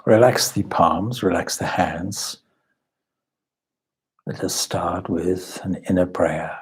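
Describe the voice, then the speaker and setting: A man leading a guided meditation as a spiritual teacher, calm and measured, with deliberate pauses and a husky, breathy voice. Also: low-pitched.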